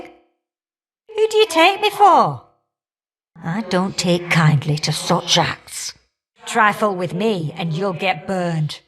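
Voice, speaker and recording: An actress voicing a warm, feisty old great-aunt fire goddess for a video game, with folksy, teasing, old-fashioned talk. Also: female voice, mid-range voice, heavily acted. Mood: indignant, threatening. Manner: folksy, teasing, old-fashioned turns of phrase, calling listeners dearie. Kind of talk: video game character acting.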